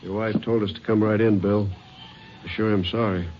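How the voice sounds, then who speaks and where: An actor playing a plain-spoken rural sheriff in a 1950s radio drama, with a folksy drawl. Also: male voice, on the low side, little pitch movement, normal speed, lightly acted. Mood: somber. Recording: muffled 1950s radio broadcast recording with hiss.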